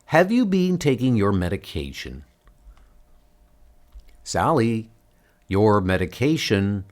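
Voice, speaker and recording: A worried, worn-out dad, voiced by a man in a comic dub. He talks slowly, with exasperation and reproach that rise and fall in pitch. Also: big pitch swings. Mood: exasperated. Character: weary, worried father of a troubled teen. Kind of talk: comic book dub acting.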